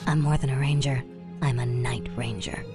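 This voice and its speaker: A woman's voice: very deep voice, fairly even intonation, normal speed, over music. A woman voicing a tough, sly night elf archer in a video game, clipped and dry. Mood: hushed, sly. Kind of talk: video game unit barks.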